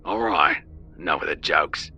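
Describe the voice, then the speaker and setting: Serious male dive leader, very deep and husky, sharp-edged delivery, voiced by a male narrator. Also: a little slow, highs cut off, soft background music, exaggerated voice. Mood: commanding. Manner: serious, commanding.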